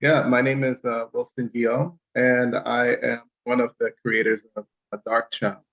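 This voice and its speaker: A man from Miami who co-created a comic, introducing himself in a halting way with many fillers. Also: medium pitch, little pitch movement. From Miami, Florida. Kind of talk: casual panel self-introduction. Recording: muffled lo-fi call audio.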